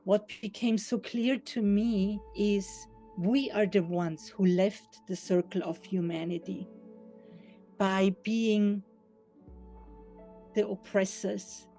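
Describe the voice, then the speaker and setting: A woman's voice: mid-range voice, steady tone, slow pace, dark tone, faint music behind. A woman from Austria, sharing her experience in a thoughtful, earnest way. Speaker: interviewee, life coach. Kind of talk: reflective testimony.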